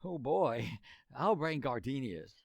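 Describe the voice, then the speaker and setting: A man's voice: mid-range voice, big pitch swings, slow, creaky, no room sound, studio quality, heavily acted. An actor playing a grumbling, hesitant teenage boy in an old-time radio comedy. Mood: sheepish, smitten. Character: Homer, grumbling, wary teenage boy, sweet on his girl.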